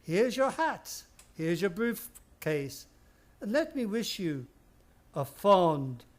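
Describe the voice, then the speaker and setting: A high-pitched old man as a male actor plays him in a radio thriller. He is mock-polite and unctuous, with theatrical courtesy over quiet menace. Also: sing-song, slow pace, exaggerated voice. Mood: gloating, menacing. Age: older. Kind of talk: radio play suspense acting.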